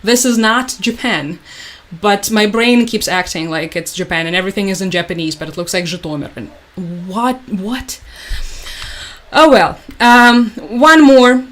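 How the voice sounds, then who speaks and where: A woman's voice: mid-range voice, measured pace. Chatty young woman. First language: Russian. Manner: chatty, rambling with frequent fillers and restarts. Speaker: livestream host. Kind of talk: casual livestream chat.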